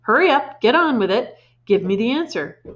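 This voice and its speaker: A woman voicing a bullying, furious headmistress who shouts and snaps insults, in a storybook reading. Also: mid-range voice, a little fast, lo-fi sound, natural voice.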